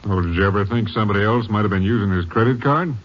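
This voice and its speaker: An actor playing a brisk, skeptical Ranger captain in a 1950s radio drama, firing short probing questions. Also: male voice, fairly low pitch, a little hiss or hum, lightly acted. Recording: dull lo-fi 1950s radio broadcast.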